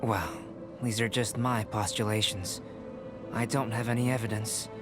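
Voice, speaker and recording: An actor voicing a calm, scholarly young male alchemist in a video game, speaking in a measured, formal, analytical way. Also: lowish voice, music in the background, lightly acted. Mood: musing. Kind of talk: video game dialogue acting.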